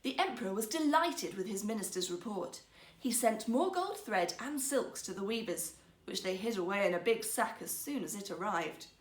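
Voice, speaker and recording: A woman storyteller narrating a fairy tale in an expressive, theatrical, carefully phrased way. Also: mid-range voice, normal speed, roomy sound.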